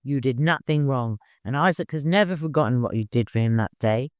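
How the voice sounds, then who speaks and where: A man's voice: mid-range voice, fairly quick, clear, resonant tone, dry recording, natural voice. A mischievous old male servant, played by a male narrator, talks freely in a teasing, insistent way. It is a storybook character in a very dry, close recording. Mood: reassuring.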